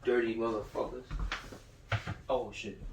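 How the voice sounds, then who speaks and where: A man delivers an informal lecture from a little way off the mic in an echoey room. He moves between reading aloud and blunt, profane commentary, full of slang and repeated phrases. His voice sits at a medium pitch. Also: level tone, measured pace, gravelly, a little mellow. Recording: roomy echo, far from the mic.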